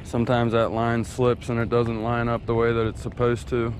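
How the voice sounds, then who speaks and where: A laid-back man talks casually while fishing at a lake, his speech unhurried and drawling, sitting at a middle pitch. It sounds like a head-mounted action camera outdoors, with a faint hiss of open air behind him. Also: completely flat delivery. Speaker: fishing vlogger.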